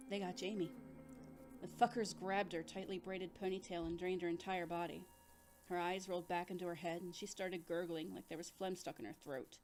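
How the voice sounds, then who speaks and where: A woman's voice: on the low side, darkish tone, close to an everyday voice. A woman playing a grieving, bitter survivor reading out her journal as a bleak audio drama monologue. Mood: shaken, bitter. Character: grief-stricken, despairing woman survivor writing a journal.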